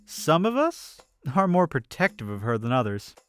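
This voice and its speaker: A woman's voice: a bass voice, very animated, moderate pace, soft background music. A woman playing a candid, protective and skeptical adventurer in a fantasy audio drama.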